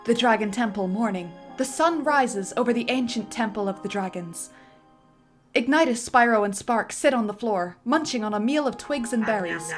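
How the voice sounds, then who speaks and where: A woman's voice: a little high, animated, normal speed, faint music behind. A woman narrating a film script with a theatrical, emphatic delivery.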